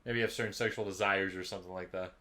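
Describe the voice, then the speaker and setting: Young adult male co-host, sharp lowish voice, casual joking chat. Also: fairly even intonation, rapid-fire. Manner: joking, informal. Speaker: podcast co-host.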